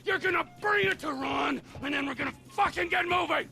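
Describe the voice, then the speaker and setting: An actor playing a volatile, manic drug boss in a video game, theatrical and erratic, swinging between shouts and mutters. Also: male voice, very high voice, brisk, gravelly, over music. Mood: scheming, agitated. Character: volatile, manic male drug boss rallying new recruits. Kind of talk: video game cutscene acting.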